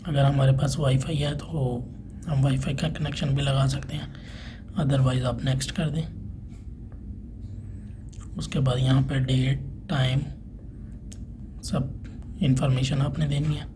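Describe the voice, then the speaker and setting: Man with flat, even instructional delivery. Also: mid-range voice, measured pace, pauses often. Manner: plain, instructional, unscripted. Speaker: installer presenting a tutorial. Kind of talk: step-by-step hands-on tech tutorial narration. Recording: clearly noisy room ambience.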